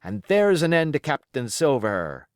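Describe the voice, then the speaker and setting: A man playing a bold, lively young ship's captain. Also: a little high, unhurried, vocal fry, clear, resonant tone, no echo, studio quality, pitched above the performer's own voice, full character voice. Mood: triumphant. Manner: formal, bold, declarative. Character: plucky, cheeky boy hero taking command of a ship.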